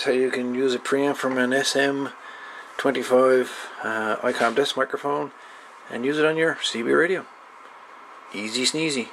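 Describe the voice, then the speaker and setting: A man's voice: medium pitch, creaky. A man giving a casual, unscripted hands-on electronics tutorial in an easygoing, rambling, informal way, recorded at an indoor workbench. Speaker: electronics hobbyist demonstrating a DIY radio project. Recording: noisy camera microphone at a workbench.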